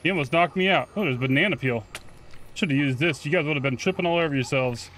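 A man's voice: on the high side, quick pace, fairly bright tone, slight background noise. A man giving casual, slangy gameplay commentary, swearing and talking back to the game's characters. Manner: profane, slangy, talks to game characters. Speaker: gaming YouTuber. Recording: game music behind the voice. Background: video game music.

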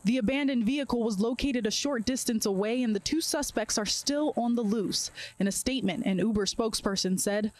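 A woman news reporter delivering a measured report, partly live from outdoors with a handheld mic. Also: on the high side, darkish tone. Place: outdoors at a gas station.

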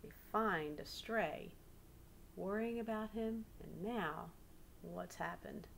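A middle-aged woman reads aloud expressively like a teacher, pausing to prompt young listeners. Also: mid-range voice. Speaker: teacher reading a picture book aloud to pupils. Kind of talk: read-aloud storytelling with questions to children. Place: small living room indoors. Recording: clearly noisy home webcam recording.

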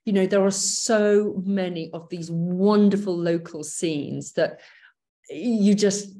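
A woman's voice: fairly low pitch, slow, warm tone. A middle-aged woman giving an online pre-departure briefing over a video call, chatty and enthusiastic, with plenty of fillers and restarts. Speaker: gap year program briefer. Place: home office, indoors.

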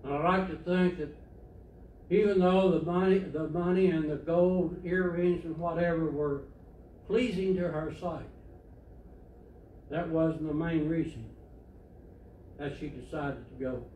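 A man's voice: a little high, fairly even intonation, measured pace, long pauses, a little mellow. An older man, preaching a sermon in a plainspoken, storytelling way from a church pulpit. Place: church sanctuary, indoors, standing at a pulpit. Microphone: far camera. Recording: echoey church from far off, faint hiss.

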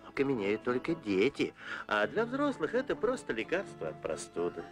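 An elderly, grandfatherly cartoon man, speaks with mock seriousness. His intonation rises and falls in a lively way, at a middling pitch. Music plays clearly behind him. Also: moderate pace. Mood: cautioning. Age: older. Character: elderly grandfatherly man gently warning a small boy. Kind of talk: animated film dialogue. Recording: loud music under the voice.

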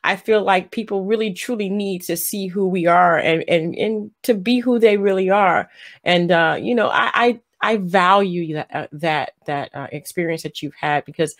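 A middle-aged woman hosting an interview over a video call from a room at home, affirming her guest and asking gentle open questions. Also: on the low side, moderate pace. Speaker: interview host. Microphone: webcam on a video call.

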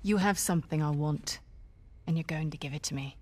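A woman's voice: deep voice, a little fast. A young woman playing a menacing mercenary who speaks in terse, taunting commands, in a video game cutscene. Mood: cold, controlled. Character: tough, menacing female mercenary, cool and taunting. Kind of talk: video game cinematic acting. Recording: loud music under the voice.

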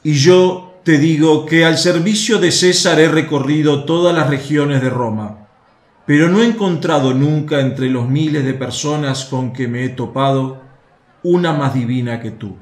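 A man's voice: a little high, unhurried, natural voice. A man playing a respectful Roman soldier in a radio drama, speaking earnestly and with respect. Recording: echoey room.

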